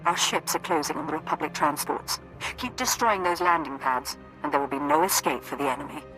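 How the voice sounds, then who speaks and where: A ruthless dark-side commander, played by a woman in a space fantasy game. Her low voice snaps out menacing military orders over light background music. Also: fairly quick, lightly acted, poor audio. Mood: ruthless, urgent. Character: ruthless female Sith commander directing a war. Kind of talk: dramatic video game voice acting.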